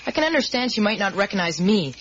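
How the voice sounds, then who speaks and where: A woman's voice: mid-range voice, big pitch swings, quick pace, a bit bright. A woman playing a sulky, doubting young boy in a naturalistic radio drama, with a plainspoken, guarded manner. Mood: doubting, curious. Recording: muffled, hissy 1980s radio broadcast.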